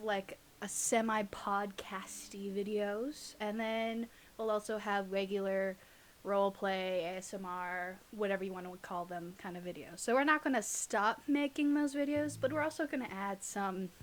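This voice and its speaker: A woman's voice: medium pitch, moderate pace, slight background noise. A young adult woman co-hosting in a casual, giggly, teasing way, chatting and reading aloud. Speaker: podcast co-host and audio roleplay creator.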